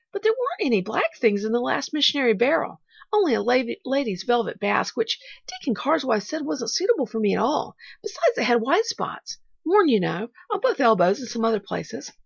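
A woman plays an eager little orphan girl from a storybook, high-pitched and very animated. She rambles breathlessly, trips over her own words and keeps bravely glad. The recording is dull and lo-fi. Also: vocal fry, slightly rough, no echo, full character voice. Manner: breathless, rambling, self-correcting. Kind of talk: audiobook character voice.

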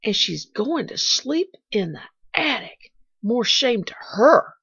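A woman's voice: fairly low pitch, animated, measured pace, gravelly, husky, no room sound, heavily acted. A woman reading the part of a feisty, resentful housemaid who talks in plain country grammar, on a dull lo-fi recording. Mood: scornful. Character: feisty young maid, resentful and blunt.

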